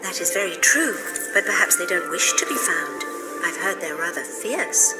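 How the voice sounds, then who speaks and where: A woman playing a gentle, refined British mother in a video game. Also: on the low side, lively intonation, normal speed, loud music behind the voice, lightly acted, low-quality recording. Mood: tender. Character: gentle, affectionate mother of a young girl.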